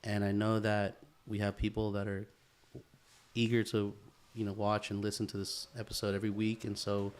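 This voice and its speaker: A man's voice: fairly low pitch, completely flat delivery, moderate pace, clear, resonant tone. A man co-hosting a podcast, talking in a heartfelt, rambling way and swearing casually. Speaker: podcast co-host. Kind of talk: casual chat.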